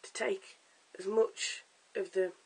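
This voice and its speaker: A hoarse, croaky-voiced vlogger giving a casual at-home wig tutorial in a chatty, stop-start way, filmed on a camera's own mic. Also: mid-range voice, little pitch movement, slow, darkish tone, low-quality recording. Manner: chatty, stop-start with fillers and self-corrections. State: hoarse, lost voice. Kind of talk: casual how-to demonstration.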